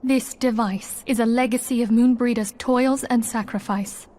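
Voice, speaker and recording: A woman's voice: on the high side, steady tone, moderate pace, a little mellow, natural voice. A woman playing an earnest young female mystic leader in a fantasy game, solemn and pleading. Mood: grieving. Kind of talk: fantasy video game acting.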